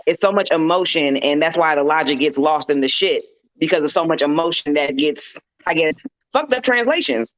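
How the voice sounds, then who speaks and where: Blunt American woman with a bassy voice. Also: animated, moderate pace, no echo. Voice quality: deep, bassy. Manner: blunt, hard-talking, sweary. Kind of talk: casual panel discussion. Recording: slightly dull, lo-fi, dry sound.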